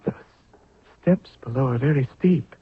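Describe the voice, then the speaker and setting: A man acting in a 1970s radio drama as a young, newly crowned pharaoh, grave, halting and apprehensive. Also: mid-range voice, slightly rough. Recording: dry phone-like vintage radio recording.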